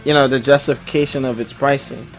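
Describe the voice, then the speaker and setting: A man hosting a casual group chat show, speaking in an informal, slangy and excitable way. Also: mid-range voice, fast talker, soft background music. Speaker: host.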